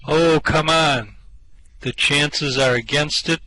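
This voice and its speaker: Hapless space castaway for radio comedy, played by a man: anxious Englishman, sharp forward voice, slow, plaintive delivery. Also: a little high, poor audio. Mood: bewildered, glum. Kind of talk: comic science fiction radio play acting.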